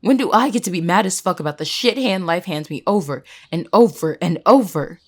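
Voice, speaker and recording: Woman acting bitter, low-voiced young female student. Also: animated, normal speed. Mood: furious, despairing. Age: young adult. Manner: sarcastic, emphatic, swearing. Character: determined, embittered young female student, sharp-tongued. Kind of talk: dramatic audio roleplay acting.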